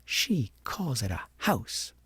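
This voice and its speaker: A man's voice: mid-range voice, very animated, takes it slowly, fairly bright tone, slightly rough, no room sound, full character voice. A man voicing a spoiled, sulky young princess in a storybook, muttering rudely with a sneer.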